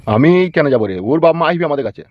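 A man's voice: fairly high pitch, lively intonation, quick pace, dry recording. A man voicing a proud, stubborn village father in a comic cartoon, argumentative, with a rural West Bengal accent. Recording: dull lo-fi audio, music behind.

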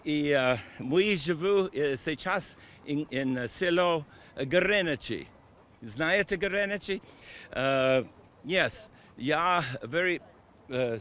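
An American man gives a street interview in slow, halting Russian, with English words slipping in between the pauses. His voice sits somewhat high for a man. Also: a bit bright. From United States. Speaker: passerby. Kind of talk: street vox pop.

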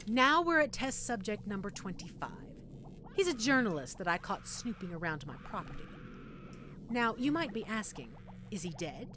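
A woman's voice: mid-range voice, sing-song, moderate pace, sharp tone. Woman doing a mad scientist lady for an audio rp, sickly sweet and sadistic, voice swoops around, noisy ambience behind. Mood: gleeful, proud. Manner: sweetly condescending, teasing, punctuated by cackling. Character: gleeful, sadistic female mad scientist toying with her captive. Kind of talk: audio roleplay acting addressed to the listener.

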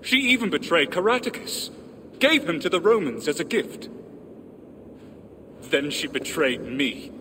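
Bitter undead warrior, game cutscene: slow theatrical delivery, slightly high, drenched in tomb reverb. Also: male voice, sing-song, pushed and bright, exaggerated voice. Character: bitter, vengeful ghost of a betrayed ancient British warrior. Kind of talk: dramatic video game monologue. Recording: long cavernous reverb, clear ambient noise.

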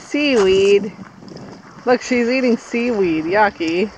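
A woman's voice: medium pitch, lively intonation, slow, low-quality recording. A woman, a mother chatting casually and colloquially as she films a family vlog. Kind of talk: casual chat while filming. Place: outdoors on forest trail and beach. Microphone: handheld phone or camera mic. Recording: outdoor noise on handheld camera.

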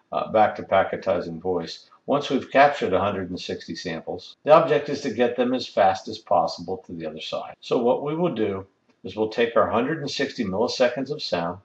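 Man, technical instructor, methodical explanation, roomy echo, muffled recording. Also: fairly low pitch. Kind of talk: explanatory screen-recorded lesson.

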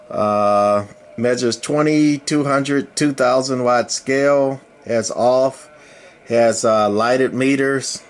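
Male hobbyist presenter, medium pitch, casual and unscripted, halting matter-of-fact explanations, handheld camera sound. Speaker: hobbyist presenter demonstrating radio gear. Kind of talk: casual unscripted product demonstration. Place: indoors at a home radio bench. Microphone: handheld camera mic, speaker behind camera.

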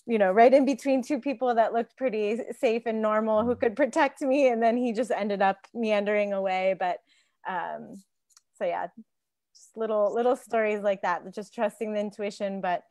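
A woman's voice: medium pitch, moderate pace, darkish tone, dry recording, studio quality. A woman in her forties casually sharing stories and advice as a guest on a round-table call. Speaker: tour guide, panel guest. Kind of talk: casual conversational storytelling and advice. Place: living room couch, indoors. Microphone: wired earphone mic on a video call.

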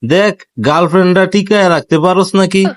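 A man's voice: sits high, steady tone, fast talker, lightly acted. A man playing a sly, mocking young friend in a comic cartoon, goading and scornful, with a Bangladeshi accent.

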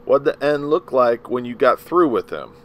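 A man's voice: mid-range voice, sing-song, normal speed, a little hiss or hum, pitched above the performer's own voice, natural voice. A man voicing a cold, scornful prosecutor who presses a witness with contempt. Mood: sneering.

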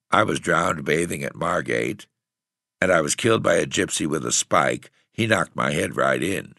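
A man voicing a frail, husky eighteen-year-old runaway tramp boy with a cocky, bitter, streetwise way of talking. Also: low-pitched, sharp tone, no echo, clean studio sound, full character voice. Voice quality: husky, hoarse.

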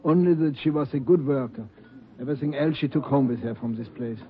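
A man's voice: medium pitch, fairly quick, natural voice. Kindly Dutch restaurant owner , played by a man, talkative and repetitive, dull 1940s radio sound. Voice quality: warm, chatty, repeats himself. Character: kindly male restaurant owner, fond of staff.

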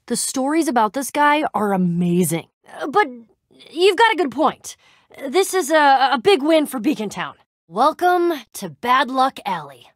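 A woman voicing a brash, excitable young female adventurer in a video game, slangy and a bit awkward as she tries to sound cool. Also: high voice, dry recording, clean studio sound, exaggerated voice. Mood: excited. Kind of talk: animated game dialogue acting.

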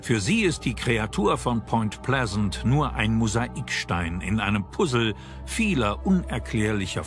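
Man, documentary narrator, scripted delivery, lively melody, faint music behind. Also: fairly low pitch.

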